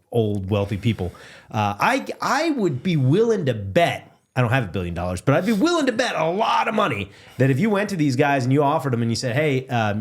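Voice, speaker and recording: A man in his forties, a podcast guest in casual chat, talking in a chatty, self-deprecating way full of "you know" fillers, in a dim studio on a desk mic. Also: mid-range voice. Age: 40s.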